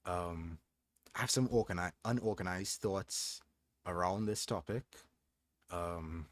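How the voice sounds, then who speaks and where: A man's voice: deep voice, slow, no echo. A young man hosting a livestream, reading from a book aloud and chatting in a thoughtful, hesitant way with frequent restarts. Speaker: livestream host.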